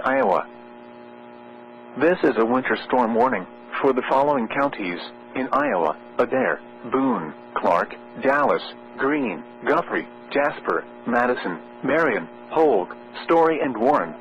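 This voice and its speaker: A man's synthetic announcer voice formally reading a scripted weather bulletin in measured phrases over weather radio. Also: medium pitch, creaky. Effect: weather radio transmission. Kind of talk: scripted weather forecast and warning readout. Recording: muffled weather radio with mains hum. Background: steady electrical hum.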